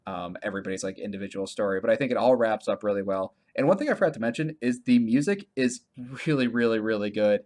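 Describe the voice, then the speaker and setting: A man co-hosting a podcast, talking casually about video games in a halting way, often restarting his sentences and leaning on filler words. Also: on the low side, darkish tone. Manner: halting, self-correcting, heavy use of "like". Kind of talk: casual conversational game review chat.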